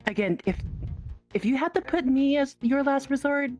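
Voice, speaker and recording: A woman playing a caring, flustered female dragon in an online roleplay, speaking in a halting, repetitive way with sighs. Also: high-pitched, expressive, a little mellow, faint music behind. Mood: earnest, flustered.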